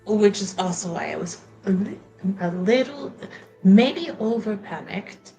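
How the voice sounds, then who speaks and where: A woman voicing a guarded, anxious house attendant with a put-on French accent in a tabletop roleplay, confiding haltingly. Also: mid-range voice, animated, mellow, soft background music. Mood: earnest, uneasy. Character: guarded noblewoman's attendant, secretly a bastard, earnest and anxious.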